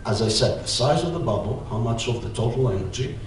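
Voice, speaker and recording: A man's voice: medium pitch, lively intonation, fast talker. Greek-accented man in an echoey hall. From Greece. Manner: hesitant, many filler "uh"s. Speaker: conference presenter. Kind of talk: conference talk. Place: large lecture hall, at a lectern. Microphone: lectern mic, filmed by a far camera. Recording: very echoey hall, distant mic, faint hiss.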